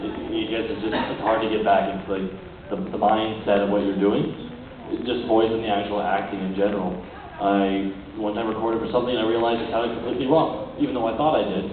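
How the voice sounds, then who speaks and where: A man's voice: lowish voice, background noise. A young man on a convention panel talking about voice acting, hesitant and full of fillers. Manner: hesitant, filler-heavy, laughs at himself. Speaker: voice actor panelist. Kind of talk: casual panel talk. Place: convention ballroom stage, large indoor hall. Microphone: table mic through PA, recorded from the audience. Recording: far, echoey hall, tinny phone-like sound.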